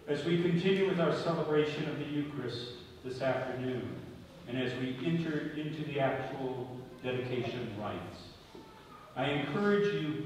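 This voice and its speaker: A man preaching a homily as a bishop, solemn and deliberate, speaking phrase by phrase with emphatic stresses in a large church. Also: mid-range voice. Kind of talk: sermon. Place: large stone-walled church, at the ambo before a congregation. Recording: very echoey church, distant mic, faint noise.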